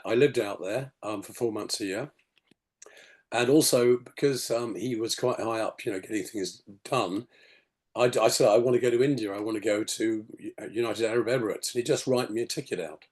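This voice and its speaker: A man in his sixties with a gravelly, slightly hoarse voice, rambling through his story on a video call with many "you know"s. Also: on the low side, a little mellow. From England. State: hoarse after a detox. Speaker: podcast guest. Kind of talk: casual storytelling interview.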